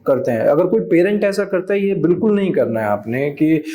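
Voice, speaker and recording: A man who is a teacher, talking as a podcast guest in an animated way, acting out other people's voices and switching into English phrases. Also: a little high, brisk, a little hiss or hum. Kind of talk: conversational podcast interview.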